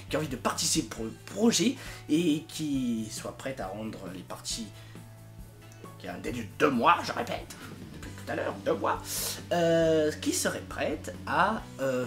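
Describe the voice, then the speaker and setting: A man's voice: a little high, sing-song, unhurried, a bit bright, faint music behind. A young man giving a casual, informal announcement as a project leader, exasperated and emphatic with comic exaggeration. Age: young adult. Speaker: fandub project leader and video maker.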